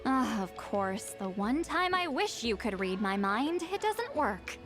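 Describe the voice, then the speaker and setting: A woman's voice: a little high, sing-song, measured pace, music in the background. A woman voicing an ancient yet youthful-sounding female shaman in a video game, curt, prickly and aloof. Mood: brusque, assured.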